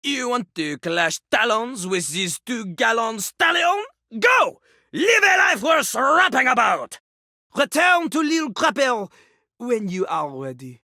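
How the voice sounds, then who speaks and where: A man's voice: extremely high pitch, big pitch swings, slow pace, bright, forceful tone, no echo, shouting, clean studio sound, full character voice. An actor playing a pompous, theatrical rapper toilet-man in a video game, boastful and grandstanding. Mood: bombastic, challenging. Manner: boastful, third-person grandstanding. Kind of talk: video game character acting.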